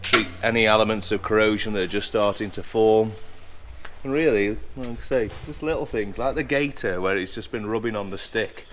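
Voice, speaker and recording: Man, low voice, explaining repairs. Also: moderate pace, fairly bright tone, slight background noise. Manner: chatty, explaining as he works, with frequent restarts. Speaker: aircraft maintenance engineer. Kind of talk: casual hands-on workshop walkthrough. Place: large indoor aircraft hangar.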